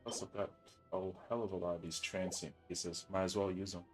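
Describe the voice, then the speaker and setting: Laid-back, low-voiced male game streamer. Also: steady tone, fairly quick. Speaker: game livestreamer. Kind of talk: casual chat while gaming. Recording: game music behind the voice. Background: video game music.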